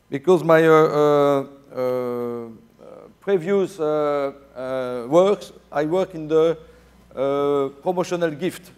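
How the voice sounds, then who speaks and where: A man in his forties with a Belgian French accent, giving a conference talk on a dark stage with many fillers and restarts. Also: fairly high pitch. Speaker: keynote speaker. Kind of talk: conference presentation.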